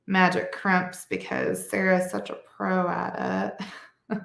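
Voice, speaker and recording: A middle-aged woman walks viewers through a beading project at a slow, easy pace, her voice a little nasal from congestion. There's a gravelly fry in her tone and an echo from the room around her. She is chatty, correcting herself as she goes. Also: mid-range voice, level tone, fairly bright tone. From Michigan. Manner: chatty, with small laughs and self-corrections. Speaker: beading instructor. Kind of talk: live craft tutorial.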